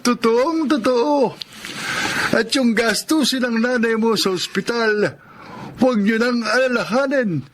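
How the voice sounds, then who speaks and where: A man's voice: extremely high pitch, a little slow, background noise. A man playing a kindly, generous older benefactor in a Filipino radio drama, with a Filipino accent. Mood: warm, pleased.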